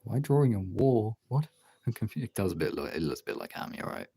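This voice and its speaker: Creaky, mellow streamer muttering while drawing. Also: mid-range voice, wildly swooping pitch, fast. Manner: absent-minded, half-muttering thinking aloud. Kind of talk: casual livestream chat while drawing.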